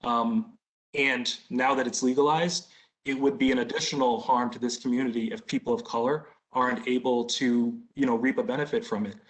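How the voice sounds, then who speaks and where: A man's voice: medium pitch, fairly even intonation, brisk, a bit bright, poor audio. An American man in his thirties answering questions at a community meeting in a conversational, explanatory way. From Boston, United States. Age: 30s. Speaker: license applicant, business owner.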